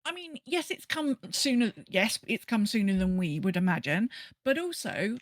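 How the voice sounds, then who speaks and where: A woman's voice: medium pitch, big pitch swings, moderate pace, no echo, studio quality. A woman chatting casually as co-host of a gaming podcast.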